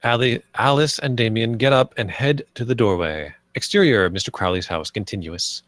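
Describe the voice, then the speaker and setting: A man's voice: mid-range voice, moderate pace, fairly bright tone. A man narrating scripted stage directions at a screenplay table read.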